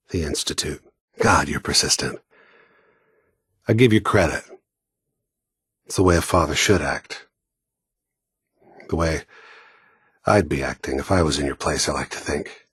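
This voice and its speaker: Husky, deep, cold-blooded mercenary. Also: male voice, no echo, clean studio sound, full character voice. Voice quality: gravelly, husky. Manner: sardonic, deliberate, taunting. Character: cold, world-weary, menacing hardened male mercenary killer. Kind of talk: video game voice acting.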